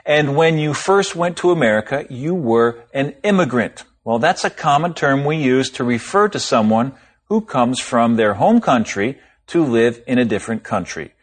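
A man explaining a term in a scripted language lesson, lively and clear. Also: mid-range voice, normal speed.

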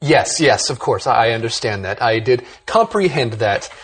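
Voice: mid-range voice, expressive, normal speed, breathy edge. A host presenting a scripted English lesson, explaining vocabulary in an upbeat way and reading example sentences aloud.

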